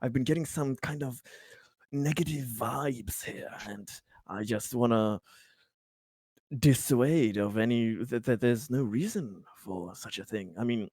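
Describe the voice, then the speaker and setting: A man's voice: mid-range voice, unhurried, darkish tone, breathy edge, dry recording. Man playing awkward, rambling, over-polite bureaucrat. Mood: politely probing. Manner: rambling, hesitant, word salad.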